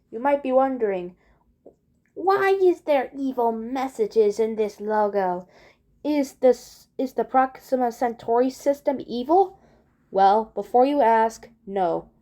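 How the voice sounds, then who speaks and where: A woman with a fairly high, mellow voice talks at a slow pace. She addresses her viewers informally, as a creator introducing and commenting on a video.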